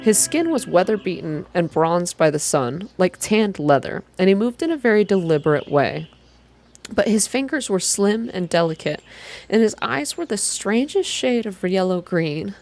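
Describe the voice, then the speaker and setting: A woman narrating first-person fiction aloud in a soft ASMR reading style, with deliberate, expressive storytelling. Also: mid-range voice, soft background music.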